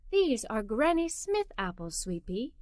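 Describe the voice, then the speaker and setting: Woman playing a mother, affectionate, soft-spoken, gently teaching, storybook, dry close sound. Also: on the high side, big pitch swings, measured pace, no echo, voice pushed down.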